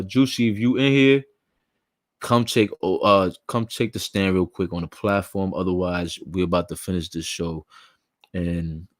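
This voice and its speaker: A man's voice: on the low side, no room sound, studio quality. A man hosts a live online show, chatting loosely in slang and thanking viewers by name. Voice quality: raspy. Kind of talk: casual livestream chat.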